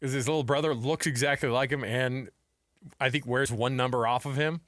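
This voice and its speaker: A man's voice: medium pitch, steady tone, a little fast, fairly bright tone, no room sound, clean studio sound. An American man co-hosting a sports radio show in a casual, breezy, colloquial way.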